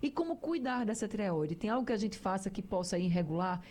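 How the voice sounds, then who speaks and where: Female radio host, mellow voice, chatty and explanatory, speaks straight to listeners. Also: medium pitch, lively intonation, fairly quick. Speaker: radio health show host. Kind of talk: conversational radio talk.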